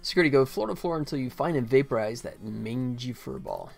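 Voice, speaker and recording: An American man in his forties chatting casually and haltingly as he livestreams game development, thinking aloud. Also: mid-range voice, animated, vocal fry, darkish tone, soft background music. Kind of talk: casual chat while working.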